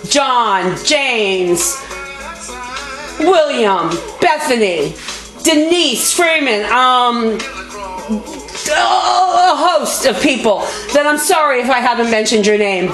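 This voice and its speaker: A woman with a loud voice speaking off the cuff as an activist host, making an emphatic, indignant, folksy appeal straight to viewers. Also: mid-range voice. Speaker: activist video host and fundraiser. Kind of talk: impassioned unscripted appeal to viewers. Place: motel room. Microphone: small video camera. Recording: echoey room, homemade camera audio. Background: background music.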